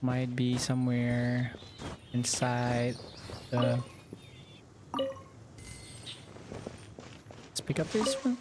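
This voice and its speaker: A man's voice: mid-range voice, expressive, slow pace, a little hiss or hum. A man doing casual live gameplay commentary, muttering to himself in short bursts. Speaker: game streamer. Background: loud game music.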